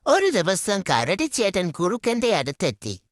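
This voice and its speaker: A woman's voice: medium pitch, huge pitch swings, a little slow, dry recording, clean studio sound. A woman narrating a scripted children's story as a storyteller.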